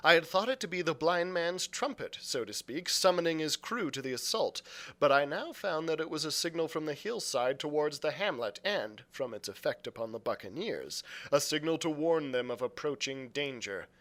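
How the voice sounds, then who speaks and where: A man's voice: on the high side, lively intonation, moderate pace, a bit bright. A man reading an adventure novel aloud as a librarian, in dramatic storybook narration.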